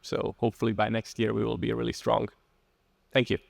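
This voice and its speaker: A man's voice: on the low side, monotone, a little fast, creaky, heavy background noise. A man with a Czech accent speaking informally as he presents a technical lightning talk, with a dryly joking, plain-spoken manner. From Czech Republic.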